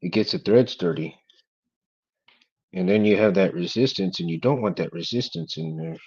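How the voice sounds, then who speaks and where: Low, monotone man muttering while working. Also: normal speed, gravelly, husky, lo-fi sound. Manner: laid-back, talks quietly while working. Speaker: hobby craft vlogger. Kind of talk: casual working chat while building.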